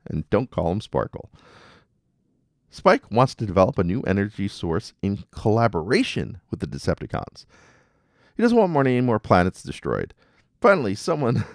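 A man with a low voice and lively, swinging intonation recaps a story as a podcast host. His delivery is casual and wry, in a dry, close recording. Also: moderate pace, no echo.

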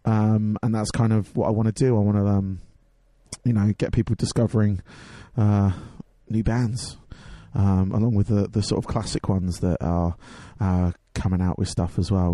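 A hoarse young man hosting his radio show and podcast, chatting casually with lots of ums and restarts. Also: lowish voice, dark tone, no echo. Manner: rambling, many ums and restarts. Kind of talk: casual chat.

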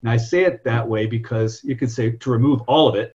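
A man in his fifties from California, a software entrepreneur telling long, self-correcting stories as a guest in an interview. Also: medium pitch, brisk, slightly rough, dull audio. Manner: rambling, self-correcting, anecdotal. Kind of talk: conversational interview storytelling.

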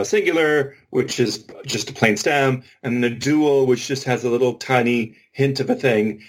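A man co-hosting a podcast, explaining methodically and informally with examples and asides. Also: medium pitch. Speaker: podcast co-host. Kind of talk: informal explanatory discussion of linguistics.

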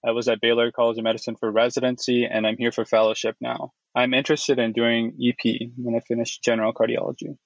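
A man's voice: medium pitch, level tone, fast, creaky, few pauses, no echo. A young man with an American accent and a mid-range voice talks as a podcast guest. He sounds prepared, and the audio is dry and a little muffled. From Houston, United States. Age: young adult. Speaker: podcast guest, cardiology fellow.

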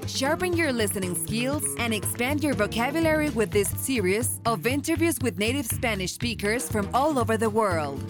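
Lady announcer intro, slow and a bit high, music playing under. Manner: measured, promotional. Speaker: show announcer. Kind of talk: scripted promo narration. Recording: over loud music bed.

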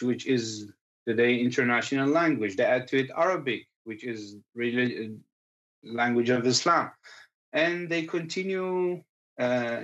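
Male guest in his thirties, Circassian accent, halting conversational delivery, muffled audio. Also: medium pitch, fairly bright tone. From Israel. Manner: hesitant, many fillers and restarts. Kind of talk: casual interview conversation.

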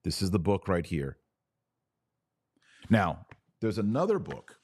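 Low-voiced man, emphatic religious commentator. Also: very animated, slow, darkish tone, husky, no echo. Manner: emphatic, didactic, asks and answers own questions. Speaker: podcast host. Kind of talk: religious commentary podcast, explaining to listeners.

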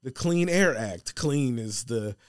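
A man's voice: medium pitch, lively intonation, takes it slowly, dry recording, clean studio sound. A man chatting casually on a podcast in an easygoing, loosely phrased, conversational way. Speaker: podcast panelist. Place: podcast studio.

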